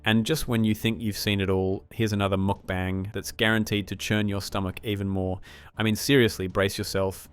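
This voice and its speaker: A man's voice: fairly low pitch, level tone, normal speed, a little hiss or hum. A man narrating a scripted commentary in a dramatic, teasing manner.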